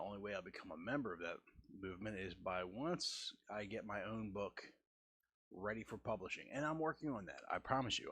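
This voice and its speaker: Husky-voiced man in his forties, halting. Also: pushed and bright, no echo. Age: 40s. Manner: halting, restarts and stammers, deliberate lowered delivery. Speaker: host. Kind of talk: casual art-stream chat.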